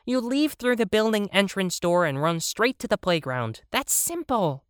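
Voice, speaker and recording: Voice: medium pitch, lively intonation, normal speed, smooth, no echo, studio quality, pitched above the performer's own voice, lightly acted. Toy bunny done by an adult reader: small, whining, impatient, raised voice, children's storybook. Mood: whiny.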